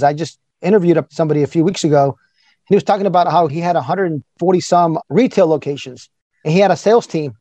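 A man's voice: fairly high pitch, dark tone, no echo. A man in his forties hosting an interview, chatty and enthusiastic in a casual conversation. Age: 40s. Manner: enthusiastic, chatty, quick-talking. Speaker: podcast host.

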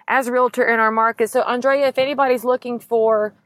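A woman's voice: a little high, steady tone, a little fast, a bit bright. Upbeat woman podcast host. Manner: upbeat, encouraging. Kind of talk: podcast interview and outro.

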